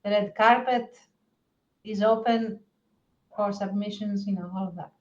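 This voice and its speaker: A woman's voice: mid-range voice, steady tone, unhurried, a little mellow, a little hiss or hum. A woman co-hosting a podcast in a casual, chatty way. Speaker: podcast co-host. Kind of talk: casual chat. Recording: muffled, echoey, far from the mic.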